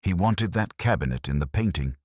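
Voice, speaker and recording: A man's voice: sits low, expressive, brisk, no echo, clean studio sound. A man playing a police sergeant in a mystery story who mutters blunt, sardonic observations.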